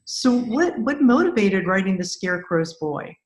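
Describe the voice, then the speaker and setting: A woman's voice: mid-range voice, very animated, normal speed, darkish tone, reverberant. A woman hosting a conversational podcast interview, speaking in an effusive, enthusiastic way.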